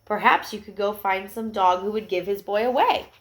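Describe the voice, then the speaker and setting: A woman voicing a gentle, patient mother dog in a storybook, coaxing and motherly. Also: medium pitch, moderate pace, close to an everyday voice, poor audio. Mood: patient, reassuring.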